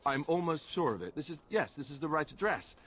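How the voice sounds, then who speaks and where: An actor playing an awkward, earnest, boyish young warrior in a video game, hesitant and prone to nervous babbling. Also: male voice, a little high, sing-song, a little fast, a bit bright.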